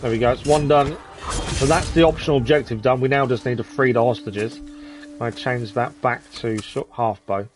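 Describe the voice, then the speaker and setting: A man's voice: medium pitch, fairly even intonation, normal speed, music in the background. A man in his thirties giving live gameplay commentary, chatting with viewers and muttering at enemies as he plays. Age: 30s. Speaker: streamer.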